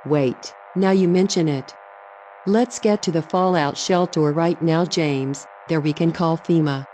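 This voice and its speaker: A woman's voice: sits low, unhurried, mellow. A woman playing a composed radio host in a scripted emergency drama. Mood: urgent. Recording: noisy broadcast with hum.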